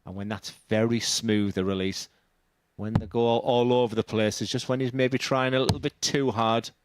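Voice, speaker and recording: A man's voice: medium pitch, fairly even intonation, moderate pace, background noise. A man with a Dutch accent giving live darts commentary in a plain-spoken, analytical way.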